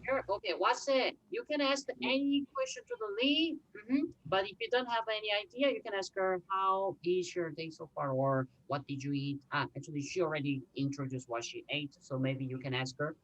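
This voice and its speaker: Korean teacher on a video call. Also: male voice, high-pitched, animated, moderate pace, hardly any pauses, a bit bright, low-quality recording. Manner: patient, explains with examples, frequent fillers and restarts. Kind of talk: online language class teaching. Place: home room, video call.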